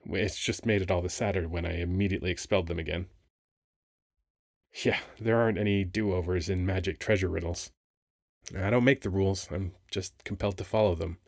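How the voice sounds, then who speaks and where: Man playing a low-voiced, wry, flirty sphinx. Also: a little mellow, dry recording, clean studio sound. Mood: matter-of-fact. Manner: casual, rambling, halting, wry. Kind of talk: ASMR roleplay monologue.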